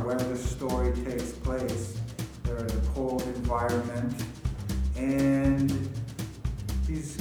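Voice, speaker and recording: Man, slow pondering explainer, mid-pitched, heavy echo with music behind. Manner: halting, pondering. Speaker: workshop participant. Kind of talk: unscripted explanation. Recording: echoey video-call audio, music behind.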